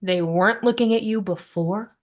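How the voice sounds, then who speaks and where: A woman's voice: mid-range voice, moderate pace, lightly acted. A woman playing a wry, exasperated young woman in a sitcom script read. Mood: teasing.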